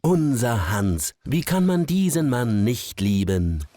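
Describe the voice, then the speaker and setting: A man's voice: mid-range voice, very animated, slow pace, background noise. A man with a Swiss German accent narrating comic voice-over in a mock-earnest, ironic tone.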